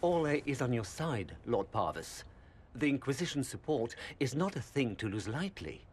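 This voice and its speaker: Courtly male envoy, voiced by an actor, smooth with a lively melody; for a game. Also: medium pitch, normal speed, a little hiss or hum, natural voice. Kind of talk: video game cutscene acting.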